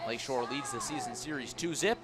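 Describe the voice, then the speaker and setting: An American man doing live radio baseball play-by-play, building excitement as the action unfolds. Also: mid-range voice, fairly quick, over music.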